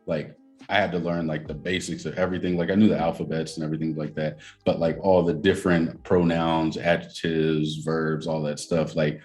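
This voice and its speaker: Deep-voiced young man, rambling casual chat. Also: flat delivery, a little fast, darkish tone, faint music behind. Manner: loose and rambling, heavy on fillers and slang. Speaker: podcast co-host.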